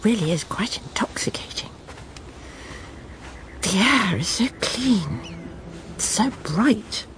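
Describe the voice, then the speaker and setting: An actress playing a prim, self-satisfied, controlling middle-aged English wife in a radio drama, brisk and genteel. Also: female voice, mid-range voice, animated, fairly bright tone, slight background noise. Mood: brisk, wondering. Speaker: lead actress and narrator. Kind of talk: radio drama acting with inner monologue.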